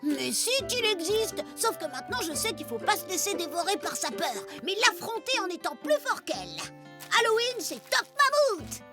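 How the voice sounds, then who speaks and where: A woman voicing an excitable, boastful but easily scared little cave boy in a children's cartoon, with a dramatic, exclaiming delivery. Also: very high voice, normal speed, exaggerated voice. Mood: proud. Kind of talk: animated children's cartoon acting. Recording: loud music behind the voice.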